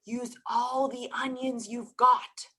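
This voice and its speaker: A woman's voice: fairly high pitch, fairly even intonation, slow pace, bright, forceful tone, husky, voice pushed up, exaggerated voice. A woman storyteller voicing a wise male rabbi who makes solemn, sage-like pronouncements in a folk tale. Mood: sure, pronouncing.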